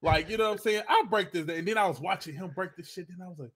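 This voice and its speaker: A man with a slightly high voice and lots of slang, telling animated stories and acting out what he said at the time. He talks into a studio mic on a video call for a group podcast. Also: fast talker. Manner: animated storyteller, slangy, acts out quotes. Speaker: podcast co-host. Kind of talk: casual group podcast chat.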